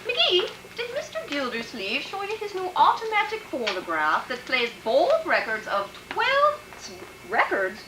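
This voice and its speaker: An actress playing a good-natured, teasing wife who delivers quips in 1940s radio comedy style. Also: female voice, high-pitched, unhurried, few pauses, exaggerated voice. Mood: teasing, amused. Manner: lively, teasing. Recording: echoey stage, faint noise.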